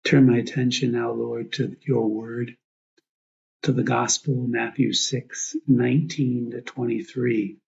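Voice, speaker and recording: Husky, gravelly man leading prayer. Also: mid-range voice, little pitch movement, muffled recording. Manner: reflective, prayerful, pausing in short phrases. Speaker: priest, meditation guide. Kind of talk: guided prayer meditation.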